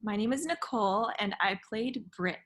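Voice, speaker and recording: A woman with a middle-pitched voice speaks casually as a theatre artist on an online panel. She sounds reflective and conversational. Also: lively intonation, normal speed, fairly bright tone. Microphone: video call.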